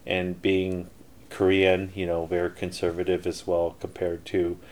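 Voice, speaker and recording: A man's voice: low-pitched, monotone, a little slow, darkish tone, a little hiss or hum. A middle-aged man telling his life story in a casual interview, rambling with many fillers and restarts. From Canada. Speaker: interview guest, entrepreneur.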